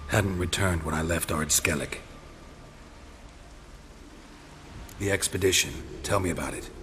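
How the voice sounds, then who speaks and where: An actor playing a stoic, world-weary monster hunter in a video game, with a terse, deadpan delivery. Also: male voice, low-pitched, fairly quick, noisy background, natural voice. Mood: dry.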